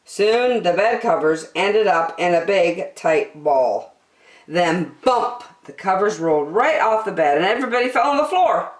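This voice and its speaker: A woman reading a children's story aloud expressively, with deliberate, dramatic phrasing. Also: on the low side, animated, roomy sound.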